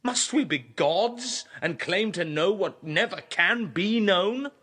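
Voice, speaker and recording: An actor playing a proud, contemptuous young king in a classical radio drama, with a husky voice and an imperious, declamatory manner. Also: high voice, expressive, slow, sharp tone, heavily acted. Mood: scornful, fervent. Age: young adult.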